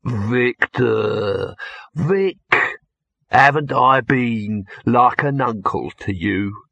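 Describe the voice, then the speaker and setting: A man's voice: a little high, expressive, slow, sharp tone, breathy edge, no room sound, muffled recording, voice pushed up, exaggerated voice. A man voicing a scheming male film-studio boss with a slick, wheedling salesman's manner.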